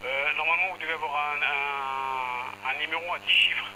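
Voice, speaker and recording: Sharp, bright-voiced man on the phone. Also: fairly high pitch. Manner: halting, repetitive, procedural. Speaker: parcel company phone agent. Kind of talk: customer service phone call. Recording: slightly noisy telephone line.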